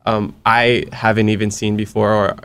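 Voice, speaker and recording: Low smooth-voiced man hosting a chat. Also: level tone, a bit bright. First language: Hawaiian. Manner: earnest, encouraging, quick asides. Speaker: podcast host. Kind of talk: casual interview chat.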